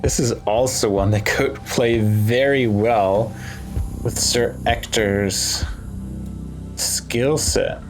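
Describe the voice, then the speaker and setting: A man giving casual gameplay commentary, thinking aloud in short, musing phrases with pauses between them. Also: mid-range voice, fairly even intonation. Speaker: let's play host. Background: game music.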